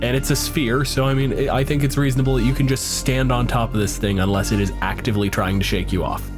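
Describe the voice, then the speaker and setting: Guy hosting a dnd podcast, normal pitch, casual game master talk, music behind. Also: fairly even intonation, fast. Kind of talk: casual tabletop gaming chat.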